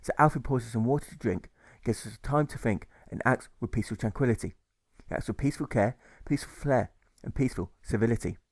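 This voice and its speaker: A man's voice: mid-range voice, fast, darkish tone, no room sound. A man narrating a rhyming audiobook as its author, with a rhythmic delivery that stresses the rhymes. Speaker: author reading his own novel.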